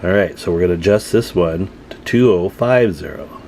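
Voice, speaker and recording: Deep-voiced man giving a casual tutorial. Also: animated, normal speed, vocal fry, darkish tone, slight background noise. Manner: folksy, informal, talking while working. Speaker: radio repair tutorial host. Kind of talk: casual hands-on tutorial narration.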